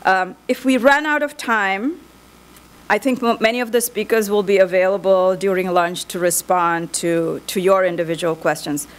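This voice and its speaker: A woman giving opening remarks and introducing speakers as moderator, measured with some restarts. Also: medium pitch, fairly even intonation, low-quality recording. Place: conference hall.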